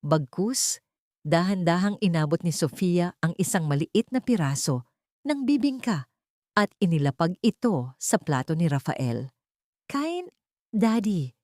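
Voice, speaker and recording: A woman narrating a dramatic story as an audiobook, with measured, sentimental delivery in short phrases. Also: on the low side, expressive, a little mellow, no room sound, studio quality.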